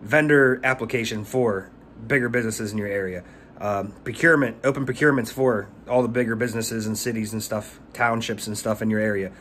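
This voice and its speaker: A man's voice: lowish voice, fairly even intonation, a little fast, fairly bright tone. Guy in his 30s rambling into his phone, lots of uh and like, small room, bit of faint hiss. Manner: rambling, repeats words, many fillers. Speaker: pressure washing business owner. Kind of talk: casual talking-head vlog. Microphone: phone at arm's length.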